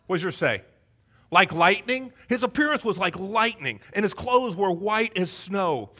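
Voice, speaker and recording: A man in his thirties with a Southern American accent, preaching an energetic, joking Easter sermon on a church stage. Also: high voice, measured pace. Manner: energetic, joking, paces the stage with wide gestures. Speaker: pastor. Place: church stage before a congregation.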